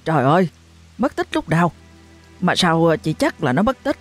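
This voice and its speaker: A woman's voice: lowish voice, very animated, soft background music. A woman voicing a jovial middle-aged village man, a kindly neighbor. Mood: worried. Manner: teasing, then worried and hurried.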